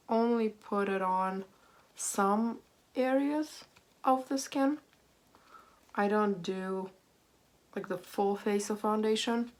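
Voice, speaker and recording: A woman in her thirties, hoarse and a little raspy from a cold, chatting casually and self-deprecatingly as she walks through her makeup routine at home. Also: medium pitch. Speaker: beauty vlogger. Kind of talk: casual get-ready-with-me chat.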